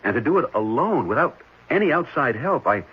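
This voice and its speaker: A man playing an earnest, intense enthusiast with a husky voice and emphatic, urgent delivery in a 1970s radio drama. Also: mid-range voice, very animated, fairly quick. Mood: amazed, earnest. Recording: muffled old radio broadcast.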